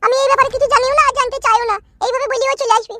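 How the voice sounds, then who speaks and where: A woman's voice: very high-pitched, fairly even intonation, very fast, bright, forceful tone. A performer voicing a bossy, scheming older village aunt in a cartoon who lectures her son-in-law. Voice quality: bossy, scolding.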